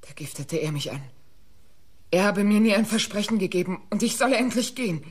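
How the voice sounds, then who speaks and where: A bitter young woman, jilted and defiant in a radio crime play. Her husky voice swings widely between low and high, brittle with hurt. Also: expressive, fairly quick. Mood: anguished confession. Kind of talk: German radio crime drama acting.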